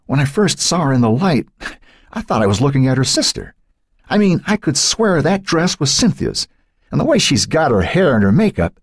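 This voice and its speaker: A man performs a distraught, protective father in a thriller audiobook. He sounds strained and emotional, lifted in pitch with a husky grain. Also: very animated, moderate pace, dry recording, clean studio sound. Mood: shaken, bewildered.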